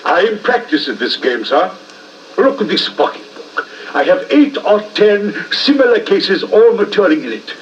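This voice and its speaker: An actor playing a smooth, cold, smug Victorian blackmailer with an English accent and mock-courteous manner in a 1950s radio drama. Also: male voice, sits high, sing-song, normal speed, far from the mic. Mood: mocking, menacing. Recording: muffled, hissy 1950s radio recording.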